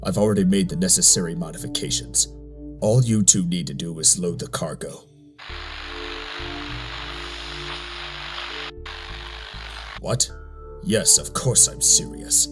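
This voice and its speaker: A stern, controlling robot strategist, played by a man for a motion comic. He is deep-voiced, clipped and humorless, with a reproachful edge. Loud music plays behind him. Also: normal speed, natural voice. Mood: curt.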